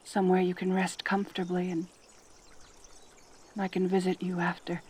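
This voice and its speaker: A woman playing a lonely, possessive centaur girl in a roleplay audio drama, tender and desperate, with halting, sighing, pleading delivery. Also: on the low side, completely flat delivery, darkish tone, slight background noise, lightly acted. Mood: sad, resigned.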